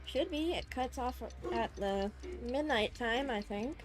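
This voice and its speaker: A woman's voice: fairly high pitch, lively intonation, measured pace. A woman streaming a video game, chatting casually and reacting expressively. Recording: faint game music behind.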